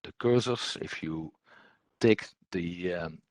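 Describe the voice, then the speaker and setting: A man giving an unscripted software tutorial as instructor, speaking haltingly and hesitantly, often repeating words. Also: deep voice, no room sound.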